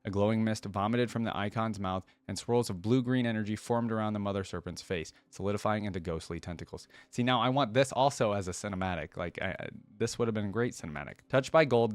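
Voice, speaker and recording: A man's voice: fairly low pitch, fast talker, few pauses, dry recording. A man hosting a reaction video, reading a fantasy story aloud and breaking off into casual, slangy commentary. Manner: informal, slangy asides.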